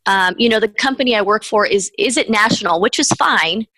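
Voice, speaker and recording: A woman's voice: mid-range voice, fairly quick, pushed and bright, no room sound. Woman, mortgage professional, first language Spanish, upbeat interview guest, lots of "you know", dry sound. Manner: upbeat, laughs between points, many "um" and "you know". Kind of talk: conversational interview.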